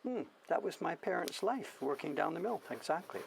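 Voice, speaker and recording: A man's voice: fairly high pitch, lively intonation, normal speed, creaky, a little hiss or hum. A man in his seventies with a generic Canadian accent, chatting casually in a rambling, musing way as he works. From Canadian prairies, born in England. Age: 70s. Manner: rambling, musing, self-questioning. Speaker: printmaker hosting a studio stream. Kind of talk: casual reminiscing chat while working.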